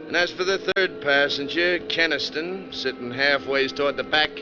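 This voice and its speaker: A man's voice: on the high side, normal speed, close to an everyday voice. A man with an American accent acting an easygoing, colloquial bus driver who narrates his story in an old-time radio drama. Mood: wry narrating. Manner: colloquial, wry. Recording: noisy, dull old-time radio recording.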